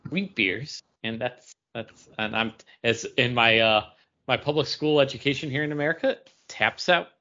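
Bright, forceful man in casual chat. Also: medium pitch, moderate pace. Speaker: podcast co-host. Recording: dull lo-fi audio.

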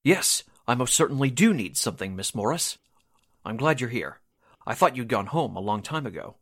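A man performs an anxious, polite producer from an old radio drama. His mid-pitched voice is lively in intonation and brisk in manner. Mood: businesslike. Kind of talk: 1940s radio drama acting.

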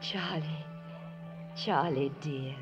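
An actress playing a prim, devoted and brave middle-aged spinster, genteel and earnest, in 1950s radio drama style. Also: female voice, deep voice, very slow. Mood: shy, tender. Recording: dull old radio recording with music.

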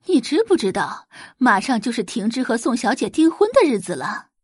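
A woman's voice: a little high, sing-song, moderate pace, slightly rough, dry recording, clean studio sound. A woman voicing a cross, nagging wife in an audio drama. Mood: scolding. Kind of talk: Chinese audio drama acting.

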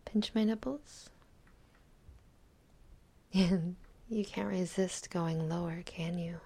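Young woman, intimate roleplay, slow husky whisper with a gravelly edge. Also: fairly low pitch. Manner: mostly whispering, soft and teasing. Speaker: audio roleplay performer.

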